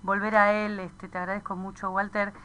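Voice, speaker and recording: Bright-voiced, hesitant woman in discussion. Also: mid-range voice, little pitch movement, a little hiss or hum. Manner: hesitant, pausing with fillers and tag questions. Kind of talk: conversational radio discussion.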